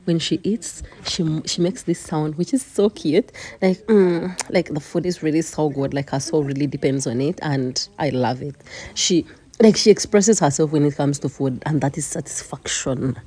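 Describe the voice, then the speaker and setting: Casting: female vlogger, low voice, chatty and expressive home cook. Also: normal speed, husky. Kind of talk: casual vlog narration.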